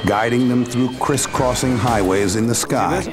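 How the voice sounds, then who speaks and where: A man's voice: medium pitch, a little slow. An American man reading scripted narration for a documentary. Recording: music and aircraft noise underneath. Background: music and aircraft sounds.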